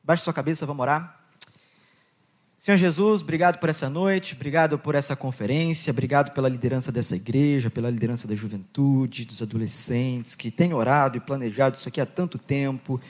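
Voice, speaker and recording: A young man with a Goiás accent preaching to a youth conference, joking with the crowd, reading scripture and praying in a quieter, lower voice. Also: fairly quick. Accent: Goiás Brazilian Portuguese. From Goiás, Brazil. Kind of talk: sermon with Bible reading and prayer.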